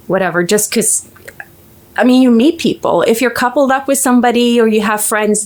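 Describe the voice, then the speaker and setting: A Swedish-accented woman in her forties talks as an interview guest, pitched in the middle of the female range. Her talk is lively and chatty, with easy laughter. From Sweden. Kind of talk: casual interview chat.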